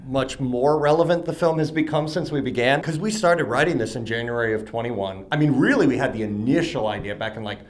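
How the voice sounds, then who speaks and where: Earnest man with a mid-pitched voice. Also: fairly quick, few pauses. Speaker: filmmaker being interviewed. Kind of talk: casual interview conversation.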